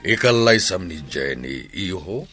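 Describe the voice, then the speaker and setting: A man's voice: sits low, animated, measured pace, pushed and bright, soft background music, full character voice. A man playing an emphatic older village father advising his grown son in a radio drama. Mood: insistent. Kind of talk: Ilocano radio drama acting.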